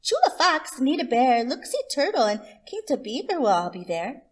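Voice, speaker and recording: A friendly lady possum from a children's storybook, performed by a woman. The voice is pitched high and lilts emphatically, like a neighborly homemaker asking for help. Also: very animated, normal speed.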